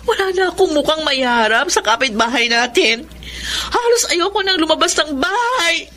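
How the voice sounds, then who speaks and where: A woman's voice: sits high, expressive, moderate pace, bright, forceful tone, a little hiss or hum. A woman playing an aggrieved adult daughter in a Filipino radio drama, tearful, scolding and impassioned, over music. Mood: anguished, bitter. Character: outraged adult daughter shaming her sinful mother.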